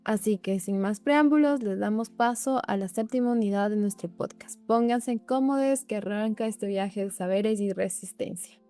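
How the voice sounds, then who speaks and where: A young woman with a mellow, warm voice reads a guest's introduction in a formal, heartfelt way. She co-hosts a podcast, and soft music plays faintly behind. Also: medium pitch, normal speed, hardly any pauses, smooth. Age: young adult. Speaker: podcast co-host.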